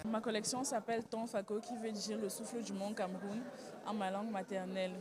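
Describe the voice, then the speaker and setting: A woman's voice: mid-range voice, little pitch movement, a little fast, music in the background. A woman fashion designer talking in an interview in an earnest way. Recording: crowd noise behind.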